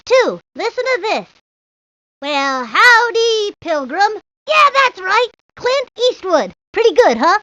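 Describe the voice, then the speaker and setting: A woman voicing a silly, excitable, talkative child with a rambling, giggly way of talking for a video game. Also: very high voice, no echo, heavily acted. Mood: proud, playful. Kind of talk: video game character lines. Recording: dull lo-fi old game audio.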